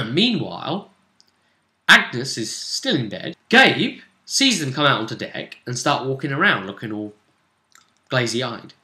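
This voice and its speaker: A man with a bright, crisp-edged voice recaps a tabletop roleplaying adventure. He talks casually and a little haltingly, as a game master would. The room around him rings with noticeable echo. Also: mid-range voice, very animated. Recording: echoey room.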